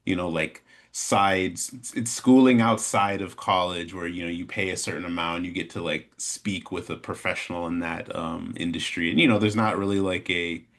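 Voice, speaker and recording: A man with an American accent chatting casually as an interview guest, in a rambling, halting way. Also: sits low, steady tone, fairly bright tone. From Chicago, American Midwest. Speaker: interview guest, animation studio founder. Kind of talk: casual chat, telling his story.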